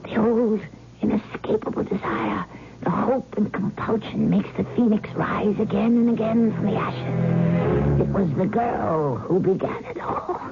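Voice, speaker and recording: Ancient cat queen, slow, grandiose, seductive menace, thin vintage radio sound with music. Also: mid-range voice, animated, creaky, phone-quality sound. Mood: crooning, brooding. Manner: grandiose, theatrical, slow deliberate delivery. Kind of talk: old-time radio horror acting.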